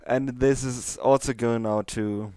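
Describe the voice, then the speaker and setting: A man's voice: medium pitch, fairly even intonation, measured pace, dark tone, dry recording. A man reading fan fiction as an amateur narrator, moving between casual talk and storytelling.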